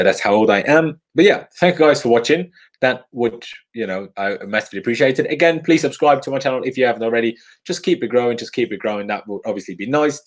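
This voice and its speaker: A man in his twenties from Austria, with an Austrian accent tinged by English and Irish, chatting casually in a live-stream Q&A with frequent laughs and ums. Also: medium pitch. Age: 20s. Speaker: football YouTuber.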